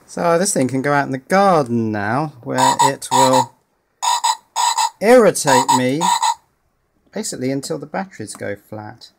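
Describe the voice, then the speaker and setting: A man's voice: medium pitch, animated, measured pace, creaky, long pauses, heavy background noise. Dry-humoured man tinkering at a bench. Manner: dry, wry, thinks aloud with frequent "uh". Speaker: electronics hobbyist presenter. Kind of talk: casual tinkering commentary. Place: indoors at a workbench.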